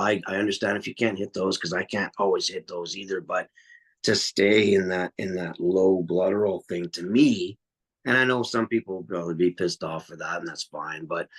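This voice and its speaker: A man's voice: sits low, husky. A man, a metal vocalist, talking bluntly and at length in a casual podcast interview, swearing freely. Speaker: metal vocalist and guitarist guest.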